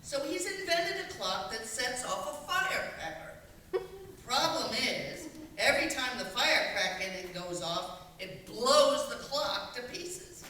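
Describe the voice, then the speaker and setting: A woman's voice: sits high, animated, measured pace, pushed and bright, heavily acted. A woman playing a matter-of-fact, chatty cow in a radio play, explaining things. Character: matter-of-fact female cow, farm animals' president. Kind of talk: stage radio-play acting. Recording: echoey hall, distant, faint noise.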